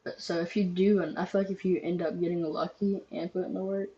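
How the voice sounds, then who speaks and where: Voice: medium pitch, level tone, fairly quick, dark tone, dull audio. A young adult reaction host commenting casually, rambling with many ums and casual swearing.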